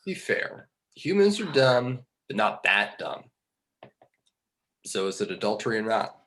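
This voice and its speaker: A man playing a skeptical, bookish fallen angel in a film script table read, articulate and measured. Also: fairly low pitch, big pitch swings, a bit bright, a little hiss or hum, exaggerated voice. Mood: explanatory.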